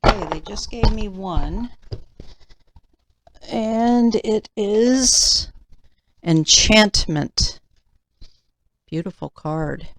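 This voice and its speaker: A woman giving a tarot reading in measured, deliberate phrases with pauses, her voice slightly nasal. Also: mid-range voice, animated, slight background noise. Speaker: tarot reader and channel host. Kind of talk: slow, deliberate tarot reading, part read aloud from cards.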